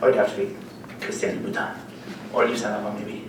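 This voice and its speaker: A man's voice: on the low side, quick pace. A man giving a live talk as a lawyer, joking with the audience and often restarting his sentences. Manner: joking, animated, many restarts and fillers. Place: meeting room with live audience. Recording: echoey hall, mic far off, faint noise.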